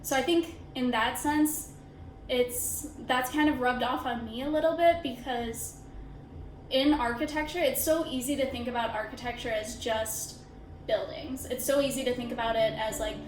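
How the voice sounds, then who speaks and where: A woman's voice: on the high side, steady tone, normal speed, roomy sound, slight background noise, low-quality recording. A woman in her twenties, an architecture student, speaking reflectively in a casual interview with many filler words. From India, raised in Chicago suburbs. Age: 20s.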